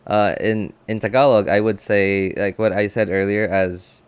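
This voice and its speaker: Low-voiced man thinking aloud. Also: fairly even intonation, smooth, dry recording. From Philippines. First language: Cebuano. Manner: halting, thinking aloud with many fillers and restarts. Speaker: game streamer. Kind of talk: casual live-stream chat.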